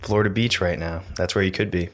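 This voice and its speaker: Podcast co-host: low-voiced young man, steady monotone, soothing casual talk, close mic. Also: fast, background noise. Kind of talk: casual chat. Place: small room indoors. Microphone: studio mic on stand, close.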